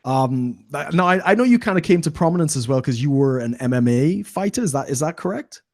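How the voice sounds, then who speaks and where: A man's voice: a little high, animated, brisk, dry recording. Host: man in his thirties, dry close mic, rambling friendly questions. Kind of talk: casual interview. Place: small room at home. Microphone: studio mic on boom arm, headphones.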